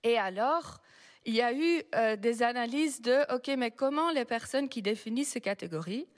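A woman who is not a native French speaker, a researcher speaking as a panel guest, explaining at a measured pace with frequent hesitations. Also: on the high side, lively intonation. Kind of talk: public panel talk. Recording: long hall reverb.

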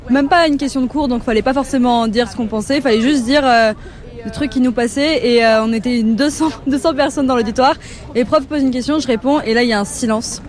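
A woman in her twenties telling a short anecdote in an animated way. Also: on the high side, brisk. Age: 20s. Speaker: interviewee.

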